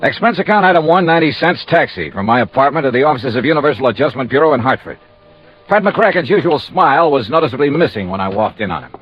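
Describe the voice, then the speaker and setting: Dull vintage radio sound, male actor as breezy American investigator, wisecracking, lively swooping delivery, 1950s detective drama. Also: mid-range voice, fast, faint music behind, natural voice. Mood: businesslike. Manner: wisecracking, wry. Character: wry, breezy male freelance insurance investigator. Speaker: lead actor playing an investigator.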